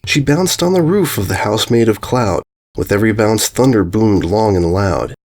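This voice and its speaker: Animated man reading a rhyming storybook. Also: on the low side. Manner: measured singsong cadence. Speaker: story reader. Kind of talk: rhyming children's storybook narration.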